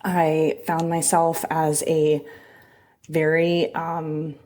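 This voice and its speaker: A woman's voice: deep voice, completely flat delivery, extremely slow, slight background noise. A middle-aged woman from Southern California, an immigration attorney telling her story as an interview guest, with frequent restarts. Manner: warm storytelling with many restarts. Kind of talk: conversational interview.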